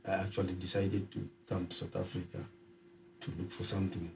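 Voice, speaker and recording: Soft-spoken man from Zimbabwe, hesitant. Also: low-pitched, completely flat delivery, fairly quick, gravelly, far from the mic. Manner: hesitant, many filler sounds. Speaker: guest. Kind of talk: conversational interview.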